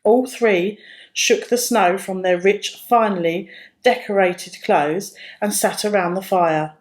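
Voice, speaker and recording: A woman reading a children's storybook aloud as narrator, in a careful, expressive way. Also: mid-range voice.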